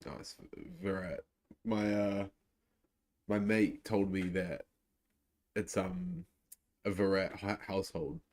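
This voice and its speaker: A man's voice: low voice, level tone, takes it slowly, pauses often, darkish tone. A young man from New Zealand with a New Zealand accent, chatting casually to viewers while reacting live to a cricket match. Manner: laid-back, chatty, restarts often. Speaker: live stream host.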